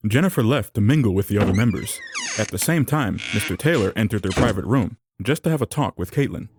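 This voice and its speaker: A man's voice: fairly low pitch, very animated, fairly quick, background noise. A man reading scripted narration for an audio drama. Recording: music under the voice.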